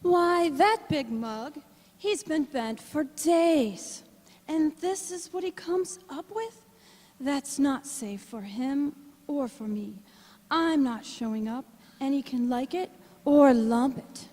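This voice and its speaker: An actress playing a defiant, sassy gangster's moll in a radio play, talking in old-time slang. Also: female voice, high-pitched, expressive, takes it slowly, a little mellow. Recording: noisy hall with long reverb.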